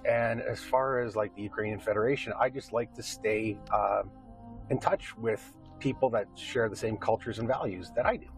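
A man's voice: on the low side, moderate pace, faint music behind, low-quality recording. A middle-aged man with a Canadian accent, answering interview questions in an earnest, rambling conversational way.

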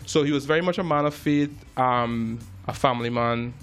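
A man's voice: mid-range voice, moderate pace, faint music behind. A man in his thirties from Trinidad and Tobago, a jazz musician telling stories in a casual interview with many fillers and restarts. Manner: relaxed storytelling with frequent fillers and restarts. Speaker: jazz musician and filmmaker, guest.

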